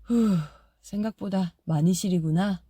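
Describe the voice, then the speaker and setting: A woman voicing a gentle old chimney who pleads softly and thanks warmly in a storybook audiobook. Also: mid-range voice, unhurried, breathy edge, no echo, studio quality. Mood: grateful.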